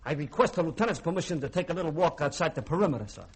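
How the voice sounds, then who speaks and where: An actor playing a folksy, shifty, bourbon-loving ship's cook who wheedles hesitantly in a 1950s radio drama. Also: male voice, a little high, lively intonation, rapid-fire, breathy edge. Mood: sheepish wheedling. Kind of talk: 1950s radio comic-relief acting.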